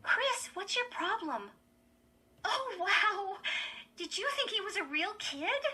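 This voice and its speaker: A young girl, played by a woman reading a story, high and bright, exclaiming with gleeful scorn. She is an excited, teasing sister with a sharp edge. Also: slow, exaggerated voice. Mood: scornful.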